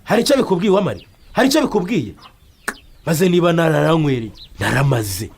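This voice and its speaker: A man's voice: fairly high pitch, very animated, fast talker, creaky, slight background noise. A Rwandan man playing an irritable, argumentative, hard-drinking husband in a radio drama. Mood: angry. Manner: exasperated, argumentative. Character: irritable hard-drinking husband who lost his bicycle.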